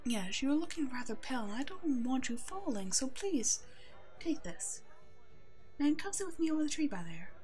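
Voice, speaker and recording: Gentle, high-voiced protective werewolf woman played by an actress. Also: big pitch swings, normal speed, faint music behind. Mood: concerned, kind. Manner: gentle, coaxing, reassuring. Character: kind gentle female werewolf, protective, soothing toward a child. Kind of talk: ASMR roleplay audio drama, one-sided dialogue.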